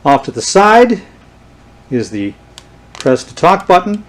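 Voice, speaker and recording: Unhurried man giving a show-and-tell. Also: sits high, very animated. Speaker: presenter showing vintage radio gear. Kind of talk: unscripted show-and-tell demonstration.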